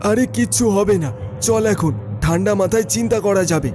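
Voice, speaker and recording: A man voicing a young male student, a teasing and questioning friend in an animated horror story. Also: high voice, fairly quick, noisy background, lightly acted. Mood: reassuring.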